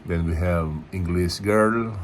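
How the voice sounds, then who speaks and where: A man, a Tagalog speaker, calmly going through vocabulary word by word and spelling some of them out. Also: low voice, a little hiss or hum. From Philippines. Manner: unhurried, word-by-word, spells words out. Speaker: language teacher. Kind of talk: casual vocabulary lesson.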